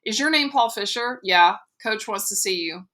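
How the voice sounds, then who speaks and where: A woman voicing a brash teenage boy calling out quickly across a soccer field. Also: mid-range voice, expressive, pushed and bright.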